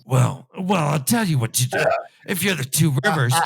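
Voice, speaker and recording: Creaky-voiced man, emphatic mock scholar. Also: on the high side, lively intonation, moderate pace. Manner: emphatic, mock-scholarly, repeats himself for effect. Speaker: podcast co-host. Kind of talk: comic book recap and discussion.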